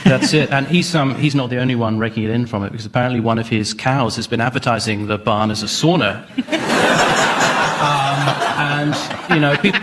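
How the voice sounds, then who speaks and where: A man's voice: medium pitch, fairly quick, background noise. A man explaining things in a hesitant, rambling, comic way as a panel show guest. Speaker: comedian panellist.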